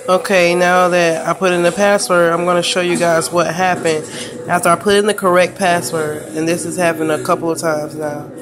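Woman, monotone home recording, fed-up help request, music behind. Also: lowish voice, normal speed. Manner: flat, frustrated help request. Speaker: vlogger asking for help. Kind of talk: casual phone screen recording. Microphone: phone camera filming a handheld screen.

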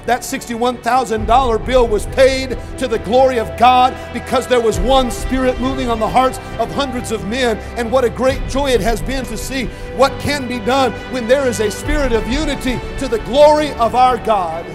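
Man, pastor, high-pitched, earnest reflective interview tone, music under it. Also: normal speed, hardly any pauses. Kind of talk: documentary interview recollection. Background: soft background music.

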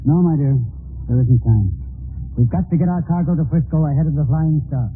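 An actor playing a stubborn, burdened clipper ship captain in an old-time radio drama. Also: male voice, fairly high pitch, fairly quick, breathy edge, soft background music. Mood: tense, stubborn. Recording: tinny, faintly noisy old radio recording.

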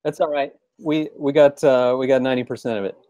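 Dev guy helping out on a call demo, mid voice, relaxed casual chat, no room echo. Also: mid-range voice, brisk. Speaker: software developer assisting a demo. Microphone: video call microphone.

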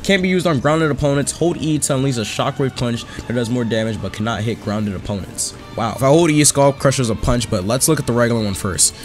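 A man's voice: mid-range voice, brisk, few pauses. A young man giving casual, enthusiastic gameplay commentary in an informal way, his voice slightly worn from being sick. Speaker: gaming YouTuber. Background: music under the voice.

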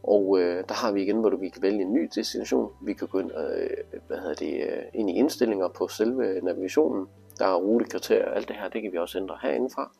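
A man's voice: low-pitched, vocal fry, few pauses, dark tone, slightly rough, soft background music. A man giving a casual, hands-on tutorial, explaining things informally step by step. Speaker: instructor demonstrating motorcycle display.

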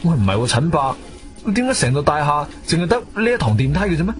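A man's voice: fairly high pitch, quick pace. A male actor playing an agile, intense adventurer who narrates his own radio drama in suspenseful Cantonese. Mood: urgent, alarmed. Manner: animated, suspenseful storytelling. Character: agile martial-arts-trained adventurer, urgent and intense. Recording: studio radio drama with music behind.

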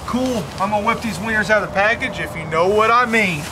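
A man playing a high-voiced, offhand camper. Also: fairly quick, noisy background, close to an everyday voice. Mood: casual. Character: young man camping, later bitten. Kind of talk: amateur film acting.

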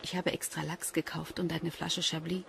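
A woman's voice: low-pitched, flat delivery, normal speed, vocal fry, noisy background. An actress playing a playful, eager expectant mother in natural radio drama dialogue. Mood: teasing. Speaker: actress in a crime radio play.

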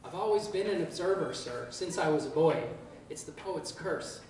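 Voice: medium pitch, expressive, normal speed, darkish tone, lightly acted. Brief: reader doing an Irish poet-tugboat man, polite and dry, Irish lilt; distant, echoey live-reading sound with room noise. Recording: echoey room, far from mic, background noise.

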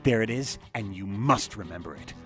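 A man's voice: fairly low pitch, lively intonation, normal speed, a bit bright, faint music behind. A man voicing a stern, intense melodramatic leading man in a cartoon, theatrical and emphatic. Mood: overwrought intensity.

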